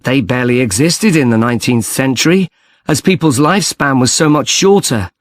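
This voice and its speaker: A man's voice: medium pitch, expressive, fairly quick, no echo, clean studio sound, lightly acted. A man playing an interested student in a conversational, scripted study discussion. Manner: engaged, conversational.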